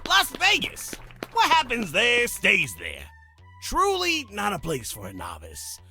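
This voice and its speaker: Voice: medium pitch, huge pitch swings, unhurried, creaky, bright, forceful tone, soft background music, exaggerated voice. An actor playing a flamboyant, teasing demon master of ceremonies in a visual novel, announcing with theatrical relish. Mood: sly briefing. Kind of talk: character acting in a visual novel.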